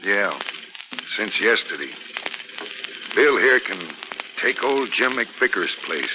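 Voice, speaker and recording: An actor playing a gruff but easygoing bridge foreman with folksy, colloquial talk, in 1940s radio drama style. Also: on the low side, big pitch swings, slow, dull audio. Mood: matter-of-fact. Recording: noisy old 1940s radio recording.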